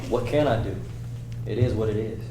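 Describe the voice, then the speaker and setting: A man's voice: lowish voice, fairly quick, lightly acted. Heard from across an echoing, noisy room, a man plays a hurt, guarded young son. His delivery is clipped and understated, stubborn in temper, as in a table read of a film script. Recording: echoey room, distant mic, noisy hum.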